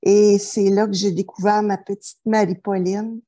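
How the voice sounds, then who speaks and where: A woman's voice: medium pitch, level tone, moderate pace, dark tone. A middle-aged woman from New Brunswick, a therapist telling her life story in an interview, hesitant with many fillers and restarts. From New Brunswick, Canada. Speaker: therapist guest.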